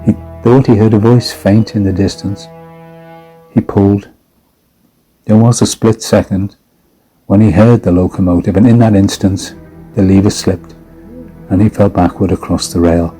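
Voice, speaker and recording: A man narrating a horror story in a measured, grave, dramatic audiobook style. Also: on the low side, fairly even intonation, warm tone, faint music behind, low-quality recording.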